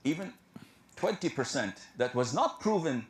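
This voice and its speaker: A man giving an earnest religious lecture, explaining in a steady, lecturing way. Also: mid-range voice, normal speed, roomy sound. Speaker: preacher.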